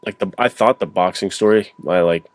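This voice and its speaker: A man's voice: sits low, a little fast, no room sound. A man co-hosting a podcast in informal conversation, often acting out his thoughts as little quotes. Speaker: podcast co-host and writer. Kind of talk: casual conversational chat.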